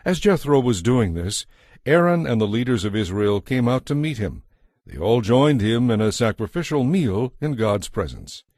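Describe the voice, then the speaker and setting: A man narrating a dramatized Bible from a script in a measured storytelling manner. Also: mid-range voice, big pitch swings, darkish tone, no echo, studio quality.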